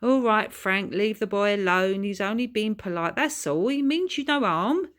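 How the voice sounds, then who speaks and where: A doting working-class mother, performed by a woman reader, high and swooping in pitch. She fusses and soothes in colloquial speech, as in a children's storybook. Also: animated, normal speed, natural voice. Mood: placating.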